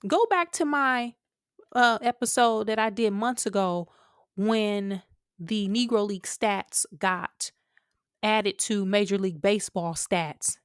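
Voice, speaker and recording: An American woman hosts a podcast with mid-pitched delivery and very little room sound. She talks casually and colloquially, addressing listeners directly. Her opinions come out with emphasis and conviction. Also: dry recording, clean studio sound. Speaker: podcast host.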